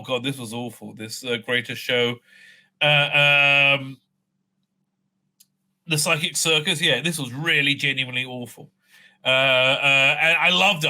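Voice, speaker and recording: A man's voice: on the high side, sharp tone. A man hosting a casual fan review, ranting bluntly with plenty of swearing and exasperation, often tagging his points with a questioning "right?". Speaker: host of a fan review show. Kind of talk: casual opinionated review rant.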